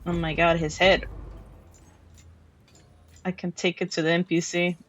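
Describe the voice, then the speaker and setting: A woman's voice: fairly low pitch, little pitch movement, unhurried. A woman from Mexico with a Mexican accent, streaming a game and chatting casually with her chat, often restarting her sentences. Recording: dull lo-fi stream audio.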